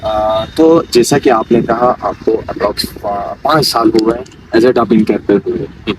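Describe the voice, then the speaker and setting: A man working as a local news interviewer, asking questions in a halting, repetitive way. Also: lowish voice, far from the mic. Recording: noisy outdoor recording, off-mic, traffic.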